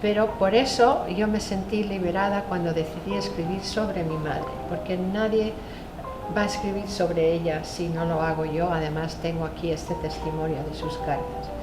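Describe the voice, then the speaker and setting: A woman memoir author whose first language is Spanish, answering panel questions in a measured, reflective way. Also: fairly low pitch, fairly even intonation. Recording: echoey room with music behind.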